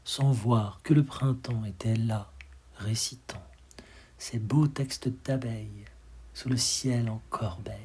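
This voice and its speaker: A man with a breathy, husky voice reciting poetry expressively, in measured dramatic phrases that often sink to a hush. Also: medium pitch. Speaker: poetry reader.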